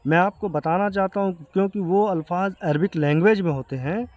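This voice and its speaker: A man with an Indian accent giving an earnest explanation to viewers, speaking haltingly and repeating himself. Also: sits high, a little mellow, highs cut off. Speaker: video creator addressing viewers. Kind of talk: explanatory talk to camera.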